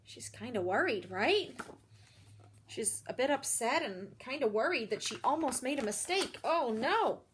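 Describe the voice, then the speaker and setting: A woman's voice: a little high, a little slow, a little hiss or hum. A woman reading a picture book aloud to children, expressively, with little asides and questions to the young listeners. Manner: expressive, explains feelings and puts questions to children.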